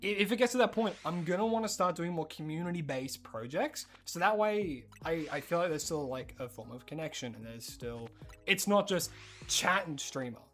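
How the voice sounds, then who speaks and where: Australian streamer, casual gaming chat, rambling and excitable, voice all over the place. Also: normal speed, soft background music. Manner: rambling, excitable, jumps into high squeals of surprise.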